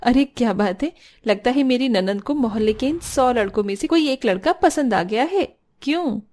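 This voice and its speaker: Woman voicing a bossy, high-pitched sister-in-law. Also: animated, moderate pace, darkish tone, lightly acted. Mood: teasing. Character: protective, bossy, teasing young sister-in-law. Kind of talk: Hindi cartoon voice acting.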